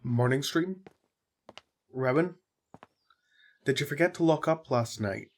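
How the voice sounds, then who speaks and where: A man playing a friendly, concerned tavern worker in an audio drama, with a husky voice and a casual, earnest manner. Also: medium pitch, slow, lightly acted. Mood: casual, curious. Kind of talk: audio roleplay acting.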